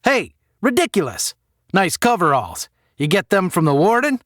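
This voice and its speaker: A man puts on an obnoxious college guy in an audio story. He jeers in a raised, lively voice, mocking and heckling. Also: sits high, moderate pace, dry recording, studio quality, voice pushed up. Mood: jeering. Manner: jeering.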